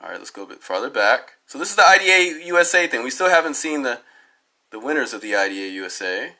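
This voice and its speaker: Hoarse man talking into his phone. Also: medium pitch, very animated, fast, pushed and bright. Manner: tangential, many fillers and restarts. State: hoarse from a cold. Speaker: DJ giving his side of a dispute. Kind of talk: rambling on-camera commentary while browsing web pages. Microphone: phone held up at arm's length.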